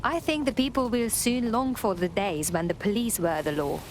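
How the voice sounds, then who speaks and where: A woman's voice: medium pitch, normal speed, close to an everyday voice. A woman playing a businesslike hacker operative in a video game, speaking in a terse, matter-of-fact way. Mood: focused. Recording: clear background noise.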